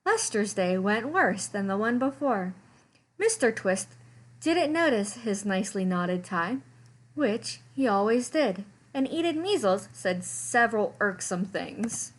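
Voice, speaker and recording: A woman narrates a children's picture book at a mid-range pitch. She reads in an animated storytime style, leaning on the descriptive words for drama. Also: a little slow. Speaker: librarian reading storytime. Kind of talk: expressive picture-book read-aloud.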